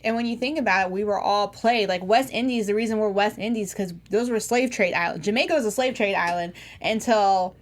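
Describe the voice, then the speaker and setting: A woman's voice: medium pitch, a little fast, few pauses. A woman co-hosting a TV recap show, speaking earnestly and building an argument with some fillers. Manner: earnest, argues a point with fillers. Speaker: co-host. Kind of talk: casual TV recap chat.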